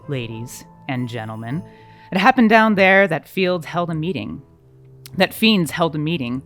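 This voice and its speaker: A man's voice: on the high side, fairly bright tone. Theatrical male narrator over music. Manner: storytelling, mock-grand. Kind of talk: theatrical rhymed radio-play narration.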